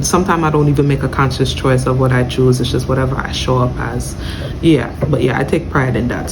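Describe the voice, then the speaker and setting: Casual YouTube vlogger, New York accent with Jamaican flavor, mid pitch, self-correcting, noisy home recording. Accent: New York with Jamaican touches. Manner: chatty, self-correcting, searches for words aloud. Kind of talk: casual direct-to-camera vlog.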